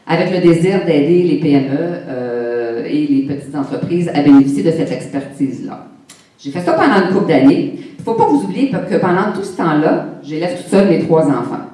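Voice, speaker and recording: A woman's voice: low-pitched, mellow. A woman in her fifties from Quebec, with a Québécois French accent, telling her own story on stage in a candid, humorous way and speaking straight to the audience. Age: 50s. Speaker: conference speaker. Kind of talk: motivational personal story talk. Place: large hall with an audience. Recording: echoey hall, voice from a distance.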